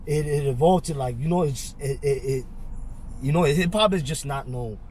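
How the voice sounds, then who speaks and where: A man born in Korea and raised in Queens, a podcast host who talks in halting, repetitive bursts full of fillers. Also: medium pitch, a little mellow, a little hiss or hum. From Korea, raised in Flushing, Queens. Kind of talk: casual podcast interview.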